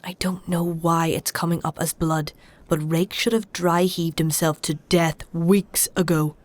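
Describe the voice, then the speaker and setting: Woman as low-voiced, wry alchemist healer. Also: little pitch movement, no room sound, close to an everyday voice. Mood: earnest, explaining. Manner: measured, explanatory, dryly sardonic. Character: wry, learned female alchemist-healer, stubborn and principled. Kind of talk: dark fantasy audio drama acting.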